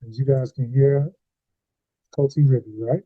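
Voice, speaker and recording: A man's voice: medium pitch, fairly even intonation, moderate pace, dry recording, narrow, tinny audio. A man hosting a Bible read-along in casual, informal short phrases, heard through a thin home stream mic. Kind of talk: casual conversational discussion.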